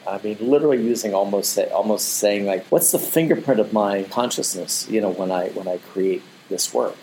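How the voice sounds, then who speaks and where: Low-voiced man explaining his art. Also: normal speed, mellow, slight background noise, rough recording. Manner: rambling, repeats "the thing is" and "you know". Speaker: digital artist guest. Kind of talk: casual interview talk.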